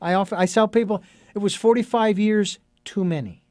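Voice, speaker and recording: A man's voice: sits high, fairly quick, darkish tone, dry recording, studio quality. A middle-aged man hosting a radio interview show, speaking earnestly with a meandering flow and many fillers. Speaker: radio talk show host.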